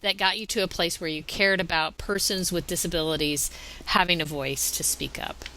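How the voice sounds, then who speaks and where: A woman's voice: fairly low pitch, fairly even intonation, normal speed, background noise. A woman hosting a conversational interview podcast, effusive and given to chuckling.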